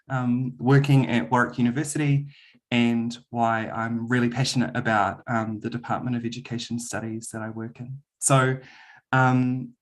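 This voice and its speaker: A man with a New Zealand accent giving a friendly university webinar presentation over a video call, with frequent "um" fillers. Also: mid-range voice. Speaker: university lecturer.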